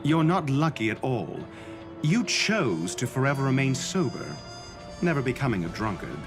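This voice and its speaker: A man playing a stern, scornful scholar in a video game, with a haughty, deliberate delivery. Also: mid-range voice, sing-song, music in the background. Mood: cold scorn. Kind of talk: dramatic video game voice acting.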